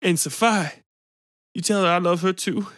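A man voicing a bitter, weepy man with a smoker's rasp who pleads and breaks into tears. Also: sits high, moderate pace, fairly bright tone, no room sound, studio quality, voice pushed up. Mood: tearful.

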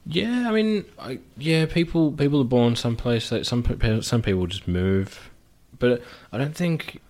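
A man with an Australian accent chatting casually on a podcast as host, lively and quick to mimic how other people talk. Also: mid-range voice. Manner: chatty, animated, acts out voices of others. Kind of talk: casual chat.

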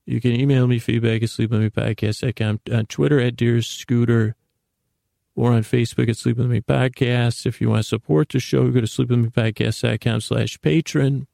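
A man hosting a bedtime podcast in a meandering, halting monologue that often trails off, gentle, reassuring and a little silly. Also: mid-range voice, steady tone, gravelly, dry recording, clean studio sound. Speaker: podcast host. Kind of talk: rambling bedtime monologue to help listeners fall asleep.

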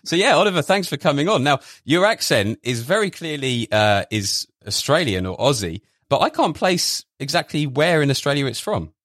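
A man's voice: on the high side, very animated, moderate pace, few pauses, a bit bright, no echo, clean studio sound. A man hosting a podcast, reading a scripted intro, then asking friendly, somewhat hesitant questions. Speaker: podcast host. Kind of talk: podcast interview.

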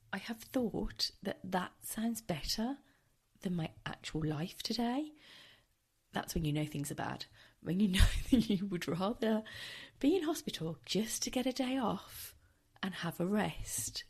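A woman hosting a casual solo podcast, chatty and wry, with a tired, croaky voice, recording at home. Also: medium pitch, darkish tone. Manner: chatty, wry and self-deprecating. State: hoarse, tired. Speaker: podcast host.

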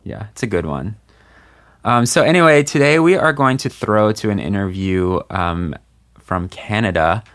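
Casting: deep-voiced male podcast host, relaxed rambling chat. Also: moderate pace. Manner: loose and rambling, many fillers. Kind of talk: casual chat.

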